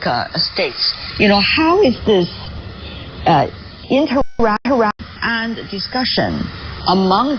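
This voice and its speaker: A woman hosting a current affairs interview, putting questions in a measured way with some hesitations. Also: fairly low pitch. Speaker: interviewer, programme host. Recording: dull lo-fi shortwave radio, loud noise.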